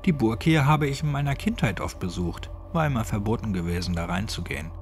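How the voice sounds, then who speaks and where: A man's voice: lowish voice, animated, brisk, faint music behind. A man voicing a cynical, grumbling old village man in clipped, colloquial speech for a horror audiobook. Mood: wry reminiscing. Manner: colloquial, clipped, gruffly chatty. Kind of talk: horror audiobook character dialogue.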